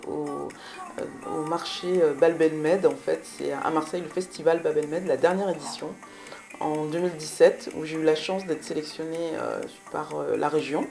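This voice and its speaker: A woman musician answering interview questions about her career in a hesitant way, pausing often mid-phrase. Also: sits low, steady tone, gravelly, dark tone. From Belgium. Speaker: musician, singer and guitarist.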